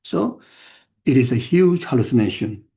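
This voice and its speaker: An older man teaching the dharma to an audience. He speaks in halting fragments, often repeating words and restarting phrases, in a plain, reflective and self-mocking way. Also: medium pitch, lively intonation, mellow. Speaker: Buddhist teacher.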